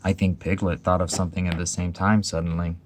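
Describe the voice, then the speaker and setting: Guy doing a mild simple bear in a kids story, low voice, kinda wistful n musing. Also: little pitch movement, quick pace, a little hiss or hum, lightly acted. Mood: mild, vague. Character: slow-witted mild male toy bear, plain, wistful.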